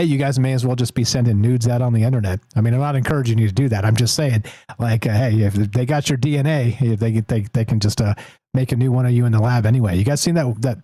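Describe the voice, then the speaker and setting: A man's voice: medium pitch, dark tone, no room sound. A man hosting a call-in talk show, rambling with many restarts and frequent laughs. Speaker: host.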